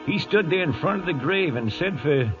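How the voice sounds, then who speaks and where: A man's voice: on the high side, animated, normal speed, natural voice. A man acting a plainspoken old trail hand tells a radio western in a rustic American Western drawl. His voice swings widely and dramatically over music, in a dull vintage broadcast. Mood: grave, dramatic.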